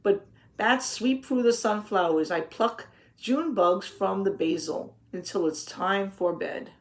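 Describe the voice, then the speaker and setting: A woman reading a children's picture book aloud, pausing to explain things simply and put questions to the young listeners. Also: mid-range voice. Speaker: read-aloud teacher.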